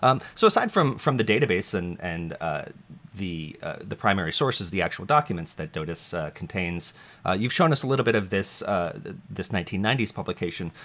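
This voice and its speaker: A man's voice: low-pitched, very animated, fairly quick, a bit bright. A man interviewing an archive director, speaking with many fillers and restarts. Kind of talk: interview conversation.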